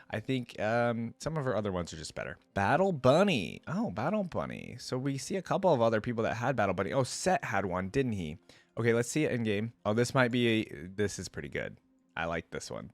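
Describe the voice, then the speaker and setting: Guy doing a chill reaction commentary, thinks out loud, kinda enthusiastic, mid voice, really dry sound. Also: mid-range voice, no echo. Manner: informal, enthusiastic, thinking aloud. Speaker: reaction video host.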